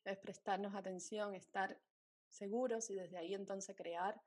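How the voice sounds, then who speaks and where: A woman hosts a casual interview in a medium-pitched voice. Her delivery is conversational and informal. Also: fairly even intonation, a little slow, a little mellow.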